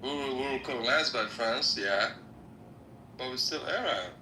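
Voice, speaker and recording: A man's voice: medium pitch, moderate pace. Blunt man, echoey room. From Morocco. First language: Arabic. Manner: blunt, animated, swears. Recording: echoey room with faint noise.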